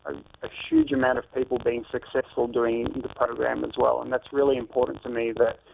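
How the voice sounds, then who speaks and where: A man's voice: medium pitch, animated, brisk, vocal fry, slight background noise. A man in his thirties, a fitness author and trainer, talking earnestly in a phone-in interview with many ums and restarts. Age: 30s. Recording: tinny phone line.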